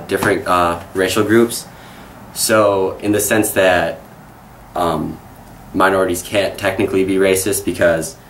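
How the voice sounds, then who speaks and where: A young man, a college student on a panel, speaking haltingly with many restarts and fillers. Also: sits low, a little hiss or hum. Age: young adult. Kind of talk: casual discussion. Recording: distant mic.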